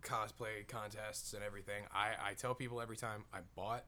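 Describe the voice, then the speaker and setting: A man with a very deep voice, a guest cosplayer chatting casually at a convention and laughing often as he tells stories. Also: little pitch movement, brisk, pushed and bright, slight background noise. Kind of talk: casual chat.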